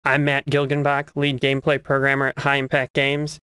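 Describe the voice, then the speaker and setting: A man's voice: medium pitch, monotone, normal speed, pushed and bright, smooth, no echo, clean studio sound. A man, a lead game programmer, giving a scripted developer message.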